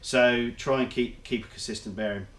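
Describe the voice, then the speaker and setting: A man's voice: mid-range voice, steady tone, normal speed, fairly bright tone, reverberant. A man, nasal from a cold, methodically explaining engine work in his workshop vlog. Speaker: motorcycle engine builder.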